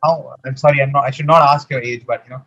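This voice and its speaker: A man's voice: mid-range voice, steady tone, quick pace, fairly bright tone, slightly rough, soft background music. A man from India with an Indian English accent, hosting a casual interview, earnest and sometimes searching for words. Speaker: podcast host. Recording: dull lo-fi sound.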